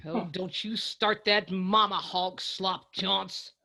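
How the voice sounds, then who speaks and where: A forceful, middle-aged mother in a radio drama. She speaks slowly and plainly, with a sharp edge to her scolding and care underneath it. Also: medium pitch, fairly even intonation, creaky, exaggerated voice. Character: stern, plain-spoken mountain mother, middle-aged, scolding but caring.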